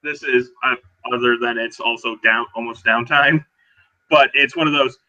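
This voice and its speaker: Man with a very bright, forceful voice. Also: mid-range voice, fairly even intonation, moderate pace, low-quality recording. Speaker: roleplaying game player. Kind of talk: casual tabletop roleplay chat.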